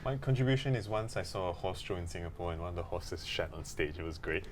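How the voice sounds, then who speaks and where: A man's voice: low voice, expressive, quick pace, a little hiss or hum. A man co-hosting a podcast in casual, conversational chat. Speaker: podcast co-host.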